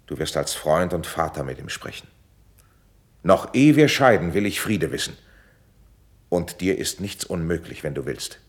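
Wise calm prince, deep voice, commanding but measured, old verse radio play. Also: male voice. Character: calm, authoritative prince, fatherly mediator of quarrels. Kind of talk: classical verse stage acting for radio.

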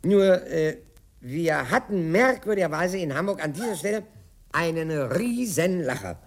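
An older man telling a theatrical anecdote, acting out the dialogue with dramatic pauses. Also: a little high, lively intonation.

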